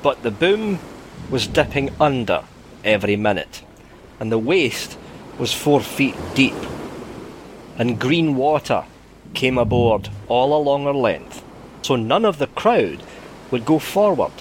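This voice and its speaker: A man reads a sea story as dramatic narration. He has a colloquial, yarn-spinning way with the text and a very lively, varied intonation. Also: medium pitch, slow pace, long pauses, a little hiss or hum.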